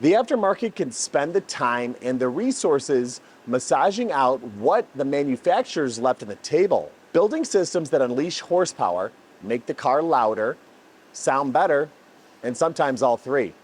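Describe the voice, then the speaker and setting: A man's voice: medium pitch, sing-song, moderate pace, slightly rough. A man hosting a technical explainer as a custom exhaust builder, speaking in articulate, emphatic phrases like a prepared narration. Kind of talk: semi-scripted explainer narration on car engineering.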